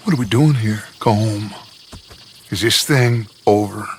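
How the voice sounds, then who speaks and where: An actor playing a proud, wary outlaw gang leader in a video game, speaking gravely in a husky voice. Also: male voice, fairly low pitch, slow, heavily acted. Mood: guarded, grave. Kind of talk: video game cutscene acting.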